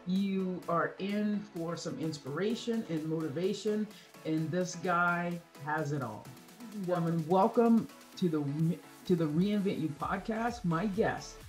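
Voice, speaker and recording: A middle-aged woman hosting a podcast interview over a video call, enthusiastic and gushing, often repeating herself. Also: lowish voice. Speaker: podcast host. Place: home studio, video call. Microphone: large studio mic with earbuds.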